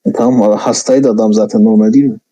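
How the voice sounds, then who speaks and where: A man's voice: on the low side, fairly even intonation, fast, mellow, rough recording. A man giving blunt, argumentative film criticism in a casual, colloquial conversation. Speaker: film commentator, video maker.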